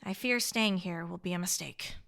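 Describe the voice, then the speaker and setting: A woman's voice: fairly low pitch, moderate pace, gravelly, fairly bright tone. A woman playing an earnest, principled, argumentative survivor in a radio play. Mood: subdued, confiding. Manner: earnest, pointed.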